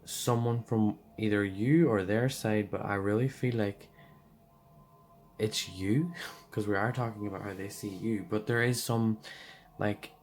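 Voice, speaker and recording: A man giving a casual tarot card reading, hoarse and losing his voice, rambling loosely with lots of fillers. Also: on the low side, fairly even intonation, unhurried, darkish tone, soft background music.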